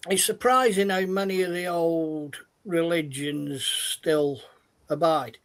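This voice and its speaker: A man with a high voice chimes in with blunt, thoughtful remarks during a relaxed group chat. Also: slow.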